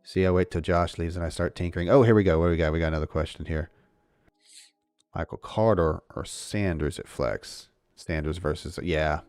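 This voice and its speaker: A man's voice: sits low, brisk, a little mellow, clear, resonant tone, no echo, soft background music. A man hosting a casual fantasy football livestream, thinking aloud with frequent restarts. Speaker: fantasy football show host.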